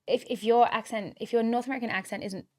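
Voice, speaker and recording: A woman's voice: on the high side, fairly even intonation, quick pace. A woman with an Australian accent, an actress talking in a casual podcast interview, chatty, with frequent restarts and filler words. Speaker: actress guest.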